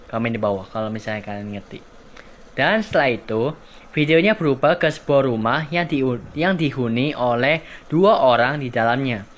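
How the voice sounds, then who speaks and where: A man's voice: mid-range voice, a bit bright. A man with an Indonesian accent hosting a video in an informal commentary style. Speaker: host of a horror recommendation channel. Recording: clear background noise and ambience.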